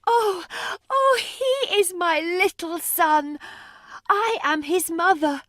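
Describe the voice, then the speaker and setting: A woman's voice: very high-pitched, takes it slowly, fairly bright tone, no room sound, clean studio sound, exaggerated voice. A woman playing a poor, weary, loving beggar mother in a storybook tale, emotional and pleading. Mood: tearful joy. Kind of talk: dramatised storybook reading.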